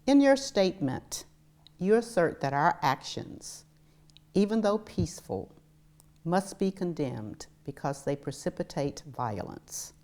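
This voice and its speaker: Female reader, deep register, very expressive melody, formal historic text with emphasis. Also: measured pace. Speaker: reader at a commemorative public reading. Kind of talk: reading a historic letter aloud.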